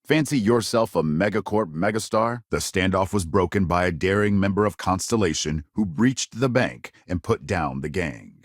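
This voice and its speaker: A man's voice: low voice, expressive, moderate pace, few pauses, dry recording, clean studio sound. A man playing a polished, dramatic news broadcaster in a sci-fi game, reading scripted reports in a punchy, theatrical newsreader delivery. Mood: upbeat, showy.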